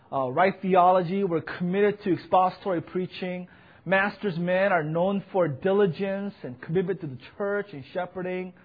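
Young male pastor, loud earnest preacher, husky tone, a touch high, muffled lo-fi recording. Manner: earnest, emphatic, rising to fervent peaks. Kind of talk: sermon with personal report.

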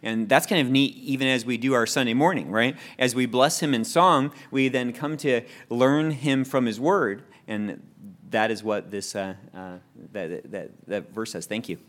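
A man's voice: mid-range voice, moderate pace, fairly bright tone. A man leads a church class, reading verses aloud and asking the group for thoughts. He talks in a friendly, loose way and often stumbles and repeats words as he goes. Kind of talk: leading a Bible study class.

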